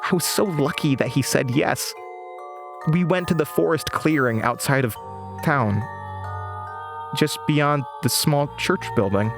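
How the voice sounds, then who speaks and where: A man acting as an anxious, haunted young radio host in a horror audio drama. His pace is slow and his pitch is mid-range for a man. Music plays behind his voice. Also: lightly acted.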